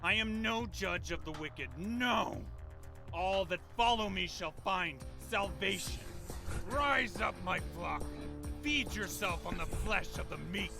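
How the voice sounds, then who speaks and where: A man's voice: high-pitched, lively intonation, slow pace, gravelly, over music, full character voice. A man voicing a pompous, venomous vampire zealot in a video game, cursing in theatrical, archaic sermon language. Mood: defiant, fanatical. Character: deranged, pompous vampire cult preacher, venomous and fanatical.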